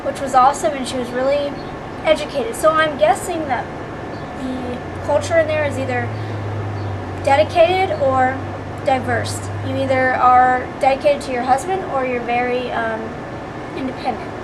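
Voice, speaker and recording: A woman with a high, light voice offers frank, chatty opinions to an interviewer. Heavy traffic and street noise press in around her, so the recording sounds loud and busy. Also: steady tone, moderate pace. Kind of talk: casual street interview answer.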